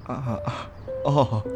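A man's voice: mid-range voice, darkish tone. An actor playing an eager, chatty suitor in a radio drama, stammering and rambling as he talks. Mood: flustered. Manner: stammering, rambling, sentence-final particles. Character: eager, chatty, flustered suitor proposing to a woman.

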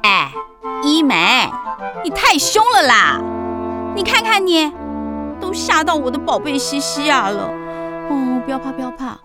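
Teasing, doting witch aunt for children's radio play: high, very animated, exuberant. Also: measured pace, pauses often, music in the background. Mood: doting, soothing. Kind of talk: children's audio drama acting.

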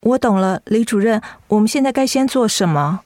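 Actress as a high-voiced, caring young daughter. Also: female voice, expressive, fairly quick, darkish tone, no echo, clean studio sound, close to an everyday voice. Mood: worried, earnest. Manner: earnest, solicitous. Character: caring, anxious young daughter of ailing mother. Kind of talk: Mandarin radio drama acting.

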